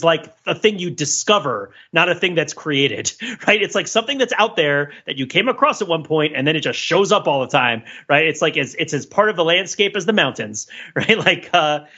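A man in a casual panel discussion, rambling through wry, animated, digressive analysis of pop culture. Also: on the high side, slightly rough, dull audio. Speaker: podcast panelist.

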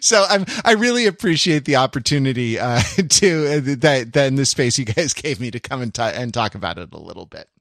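A man hosting a podcast in a casual conversational style, thinking aloud in a halting, rambling and reflective way. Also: medium pitch, expressive, fairly bright tone, dry recording, studio quality. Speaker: podcast host.

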